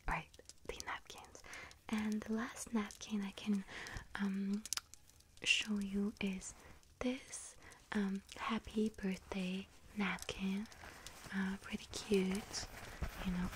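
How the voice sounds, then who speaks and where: A woman playing a friendly, scatterbrained young witch serving a bakery customer in an ASMR roleplay, hesitant, apologetic and gently chatty. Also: medium pitch, natural voice. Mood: apologetic, helpful. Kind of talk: ASMR roleplay, soft whispering.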